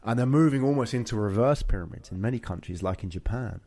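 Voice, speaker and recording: A man's voice: on the low side, expressive, fast talker, mellow. A British man hosting a conversational discussion, explaining things and often checking in with short tag questions. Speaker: show host. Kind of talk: conversational discussion of personal finance.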